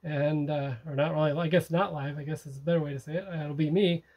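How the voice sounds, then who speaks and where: Rambling middle-aged man, slightly high voice. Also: fairly even intonation, quick pace, a little mellow, breathy edge. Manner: rambling, many fillers and restarts, easygoing. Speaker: sailing vlog host. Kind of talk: casual narrated recap to camera. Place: inside a parked motorhome, small room.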